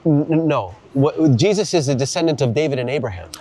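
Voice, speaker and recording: A man hosting a faith conversation, encouraging and explaining things slowly and deliberately. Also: medium pitch, animated, dark tone. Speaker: evangelist host. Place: city street outdoors.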